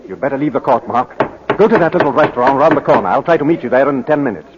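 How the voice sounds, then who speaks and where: An actor playing a commanding gentleman lawyer, urgent and clipped, in a 1940s radio drama. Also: mid-range voice, animated, quick pace, background noise, natural voice. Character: urgent, commanding English gentleman lawyer advising his client. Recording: dull, reverberant 1940s radio recording.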